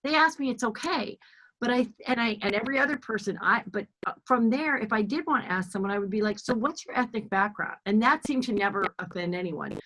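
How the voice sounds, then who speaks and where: A woman's voice: on the high side, brisk, fairly bright tone, poor audio. A middle-aged woman hosting a podcast, chatting casually and rambling with many restarts and fillers. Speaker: podcast host. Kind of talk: casual chat.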